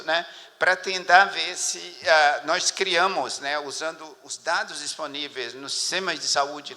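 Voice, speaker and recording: Man with a bright, sharp voice. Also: fairly high pitch. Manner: tag-heavy, constantly adding 'né'. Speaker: panel speaker, health researcher. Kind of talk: conference talk. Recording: long hall reverb.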